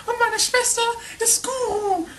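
Woman playing a squeaky-high, vain pop starlet. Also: fairly even intonation, a little slow, background noise. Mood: gossipy, haughty. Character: vain, excitable aspiring female pop star, boastful. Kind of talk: improvised comic radio play.

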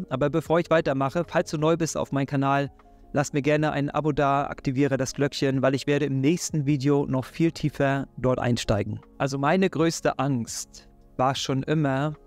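A man in his thirties from Hamburg with a Hamburg German accent, giving an earnest, encouraging faith talk straight to camera in a living room. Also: mid-range voice. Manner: earnest, encouraging, direct address. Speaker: faith and self-help YouTuber. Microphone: desk microphone in front.

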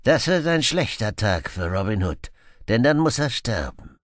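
A man's voice: mid-range voice, big pitch swings, measured pace, a bit bright, no room sound, studio quality, pitched above the performer's own voice. A man voicing a boastful, murderous outlaw in an audiobook, grim, menacing and full of contempt. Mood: scornful bragging. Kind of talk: audiobook character acting.

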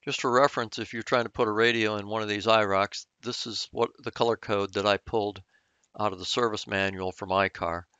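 A man's voice: fairly low pitch, fairly even intonation, brisk, sharp tone, clear, resonant tone, no room sound, highs cut off. A man giving a short cautionary voice-over note about wiring codes. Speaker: car restoration vlogger.

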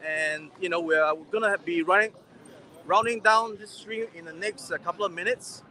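A man in his forties with a high, bright voice, chatting as he hosts a walking livestream. He talks into a handheld phone outdoors, with faint street noise behind him. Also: moderate pace. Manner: chatty, reads viewer comments aloud. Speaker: livestream host. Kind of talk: casual livestream chat. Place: busy city pedestrian street outdoors. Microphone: gimbal-mounted phone at arm's length.

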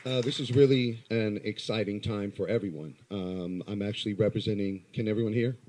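A man in his thirties from Los Angeles with a low voice, giving an earnest, halting speech into a handheld mic at a small indoor political meeting. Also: fairly even intonation, a little mellow. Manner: earnest, halting with many fillers. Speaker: community organizer. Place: small crowded indoor campaign office. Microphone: handheld microphone.

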